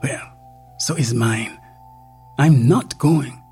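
A narrator voicing a distraught, defiant pregnant young woman whose lines jump to sharp, high outbursts. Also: big pitch swings, measured pace, husky, faint music behind, heavily acted. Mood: resentful.

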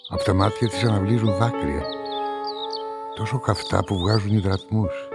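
An actor playing a brooding journalist and amateur sleuth in a radio play, measured and introspective. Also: male voice, lowish voice, fairly even intonation, lightly acted. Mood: reflective. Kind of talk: radio play narration and dialogue. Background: music.

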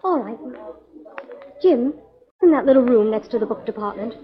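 A woman's voice: on the high side, expressive, moderate pace, natural voice. An actress playing a detective's curious, chatty woman sidekick in a 1940s radio drama, speaking politely. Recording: dull, lo-fi 1940s radio recording.

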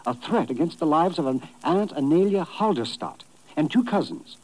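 A worried immigrant gentleman in a vintage radio thriller. He speaks formally and hesitantly, his pitch rising and falling a great deal as he explains himself. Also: animated, moderate pace, poor audio. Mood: anxious, hesitant. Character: anxious, polite immigrant businessman, victim of extortion. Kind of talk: 1950s radio drama acting.

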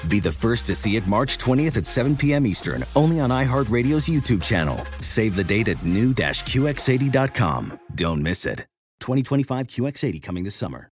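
A man announcer reading a scripted ad in a polished, measured manner. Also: medium pitch, very animated, faint music behind. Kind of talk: scripted ad read.

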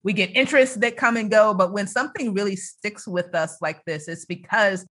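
A woman's voice: medium pitch, normal speed, a bit bright. A woman hosting a conversational faith interview, speaking in an affirming, encouraging way. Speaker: podcast host.

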